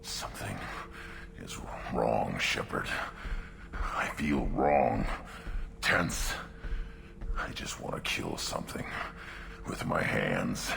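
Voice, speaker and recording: Game creature role: young alien brute, extremely low and slow, brooding fury in clipped phrases. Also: male voice, animated, pushed and bright, slight background noise, heavily acted. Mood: agitated, frustrated. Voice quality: gravelly growl. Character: restless young tank-born alien warrior, brooding and furious. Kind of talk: video game voice acting.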